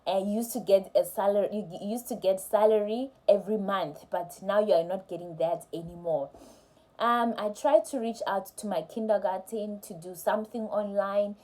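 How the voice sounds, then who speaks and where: Warm-voiced woman vlogger. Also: mid-range voice, normal speed, low-quality recording. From South Africa. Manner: candid, conversational, with soft laughs. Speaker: teacher vlogger. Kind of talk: casual storytelling to camera.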